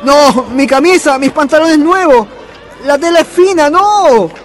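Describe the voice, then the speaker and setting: A man's voice: very high voice, measured pace, a faint crowd. A man playing Pedro, a vain young womanizer who stammers and protests when he is caught lying, in a radio drama. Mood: indignant. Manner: stammering, defensive, indignant. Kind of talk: community radio drama acting. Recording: radio drama with faint music.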